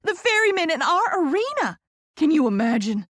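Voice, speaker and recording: Woman narrator doing excitable Southern publicist lady, high-pitched, loud, gushing, effusive, audiobook character. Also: moderate pace, dry recording, clean studio sound, natural voice. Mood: squealing excitement.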